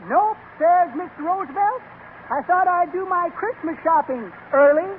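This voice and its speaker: An actor playing a chatty, joke-telling old man with a folksy, rambling manner in a 1930s radio comedy. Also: normal speed. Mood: jolly, teasing. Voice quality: high, cackling old voice. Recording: tinny, noisy old radio recording.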